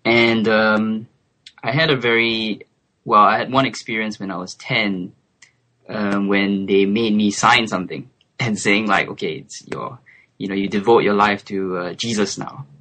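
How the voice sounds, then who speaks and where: A man in his twenties from Singapore, a guest on a remote call, speaking thoughtfully with soft laughs and hesitant fillers. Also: fairly low pitch, steady tone, a little slow, low-quality recording. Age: 20s. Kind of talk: relaxed interview chat.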